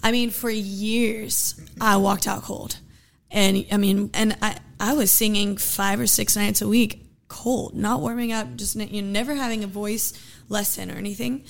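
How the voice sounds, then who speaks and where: A woman singer from Texas, a guest, talking casually with lots of fillers and easy laughs, her voice a little hoarse. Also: mid-range voice, fairly even intonation, moderate pace. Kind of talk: casual interview chat.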